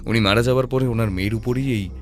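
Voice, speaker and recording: An actor playing a shrewd, composed male detective who reasons in a deliberate, analytical way in an audio drama. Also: mid-range voice, fairly even intonation, faint music behind, natural voice. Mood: thoughtful, puzzled.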